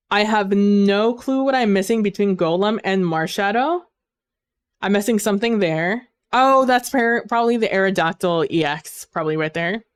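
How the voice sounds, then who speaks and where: A woman streamer talks casually through a headset microphone, rambling along with plenty of fillers. Her voice is smooth and resonant, though she is losing it, and the sound is dry and close. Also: medium pitch, dry recording. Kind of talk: casual gaming stream chat.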